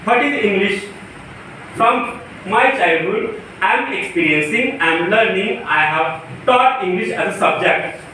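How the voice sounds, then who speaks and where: High-voiced Indian man lecturing in echoey room. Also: expressive, a little slow, sharp tone. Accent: Indian (Marathi). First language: Marathi. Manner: emphatic, repetitive, rhetorical questions. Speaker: professor, lecturer. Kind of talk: motivational lecture to teachers. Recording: very echoey room, distant mic, faint noise.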